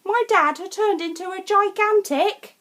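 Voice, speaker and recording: A woman reads a rhyming children's picture book in a theatrical, deliberate and emphatic way. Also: very high voice, fairly bright tone. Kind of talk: expressive rhyming storybook narration.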